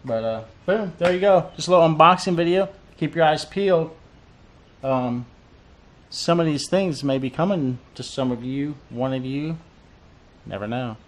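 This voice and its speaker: A man in his thirties chatting casually through a fishing tackle unboxing, rambling and repeating himself with lots of fillers, recorded on a desk camera in a cluttered tackle room. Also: mid-range voice. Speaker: fishing vlogger.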